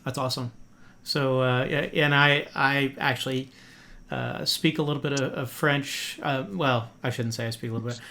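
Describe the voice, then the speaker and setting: A man's voice: mid-range voice, fairly even intonation, normal speed, pushed and bright. A man hosting a podcast interview in a friendly, casual way, with many fillers and restarts. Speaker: podcast host.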